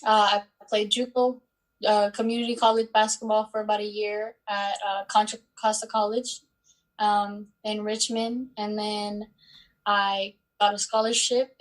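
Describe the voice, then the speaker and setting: A woman in her twenties, born in Bosnia and Herzegovina, answering interview questions in an unhurried way with many pauses and fillers. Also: mid-range voice, poor audio. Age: 20s. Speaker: guest, student athlete.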